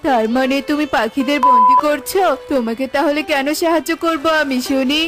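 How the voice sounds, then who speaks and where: A woman's voice: high voice, fairly even intonation, brisk, close to an everyday voice. A woman voicing a helpful, inquisitive male bird with a reassuring manner in a children's cartoon. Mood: questioning. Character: helpful, questioning male bird, devoted husband. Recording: music playing behind the voice.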